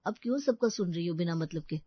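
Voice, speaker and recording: A woman's voice: fairly low pitch, animated, fast, husky, dry recording, lo-fi sound, pitched below the performer's own voice. A woman voicing an impatient, worried teenage son who protests, in a story. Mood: exasperated.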